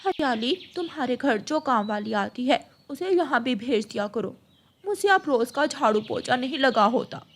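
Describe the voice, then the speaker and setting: A woman's voice: high-pitched, lively intonation, moderate pace. A woman voicing a fed-up, bossy crow wife in a cartoon, with a complaining, demanding manner.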